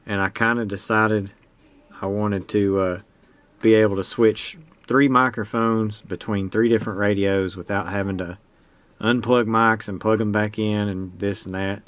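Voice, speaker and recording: Low-voiced American man, casual explainer. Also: level tone, normal speed, a bit bright. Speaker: hobbyist presenter demonstrating a homemade radio project. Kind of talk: casual, unscripted show-and-tell explanation.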